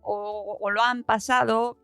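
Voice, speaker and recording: Female podcast host, higher register, dry studio-like sound, chatty and expressive delivery. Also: slow, dry recording, soft background music. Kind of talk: casual interview chat.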